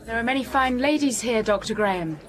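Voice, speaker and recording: Actress playing a reserved young Englishwoman, higher-voiced. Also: animated, fairly quick, lightly acted. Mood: composed, firm. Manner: restrained, formal, careful. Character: reserved, plain-spoken young English teacher, dutiful and composed. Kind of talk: period radio drama acting.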